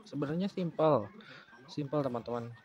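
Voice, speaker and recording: A man's voice: on the high side, normal speed, darkish tone, lo-fi sound. A man narrating a casual DIY tutorial in an explanatory way, often repeating phrases. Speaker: DIY vlogger.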